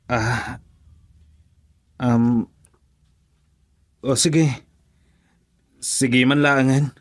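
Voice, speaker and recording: A man's voice: medium pitch, fairly even intonation, very slow. An actor with an Ilocano accent playing a patient, easygoing young father and husband in a radio drama. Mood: friendly, hesitant.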